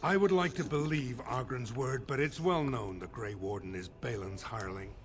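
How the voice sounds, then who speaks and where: An actor playing a sceptical, accusing dwarf noble in a video game, pointed and formal. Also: male voice, mid-range voice, moderate pace, close to an everyday voice. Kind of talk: video game character acting.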